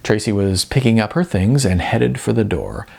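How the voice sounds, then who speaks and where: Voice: medium pitch, animated, moderate pace, creaky, darkish tone, slightly rough. A narrator reading a novel aloud as scripted storytelling. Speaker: audiobook narrator.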